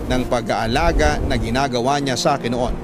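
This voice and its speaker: A man's voice: mid-range voice, steady tone, a little fast. A man giving earnest, dramatic scripted narration of a personal story. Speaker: narrator reading a letter sender's love story. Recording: loud music under the voice. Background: loud music.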